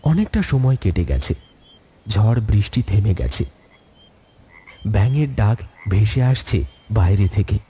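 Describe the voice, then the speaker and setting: A man's voice: on the low side, lively intonation, a little slow, long pauses, dark tone, breathy edge. A man giving a scripted, dramatic narration of a horror story.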